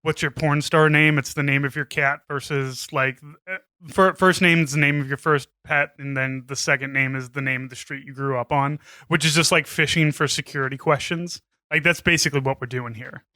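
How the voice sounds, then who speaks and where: A man with a bright, forceful voice trades jokes as a podcast co-host, animated and loose, swearing now and then. He sits close to the mic in a dry room with no echo. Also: on the high side, a little fast. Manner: jokey, animated, swears casually. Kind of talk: casual chat.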